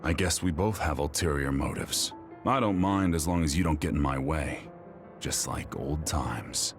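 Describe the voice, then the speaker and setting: A man's voice: rumbling low, moderate pace. A man playing a proud, caustic rebel major general in an English video game dub, speaking in formal declarations. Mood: guarded. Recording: music behind the voice.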